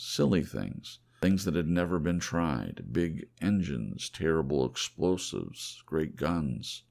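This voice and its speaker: A man as a deep, gravelly, reflective storyteller. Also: a little mellow, full character voice. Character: weary, reflective man recounting a lost war dream. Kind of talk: audiobook character voice.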